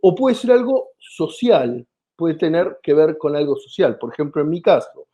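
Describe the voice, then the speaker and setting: A man's voice: a little high, sing-song, normal speed, warm tone. A man from Argentina with an Argentine accent, explaining his ideas as a comedian in an interview, with many hesitations and restarts. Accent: Argentine Spanish. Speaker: comedian guest.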